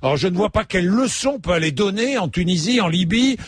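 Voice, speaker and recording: A man's voice: high voice, expressive, fast talker. A man hosting a talk radio phone-in, talking emphatically with frequent hesitations and asides. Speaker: radio talk show host. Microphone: studio microphone.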